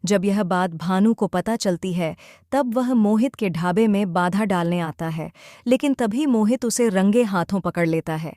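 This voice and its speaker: Mellow female story narrator. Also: medium pitch, measured pace, hardly any pauses, dry recording, studio quality.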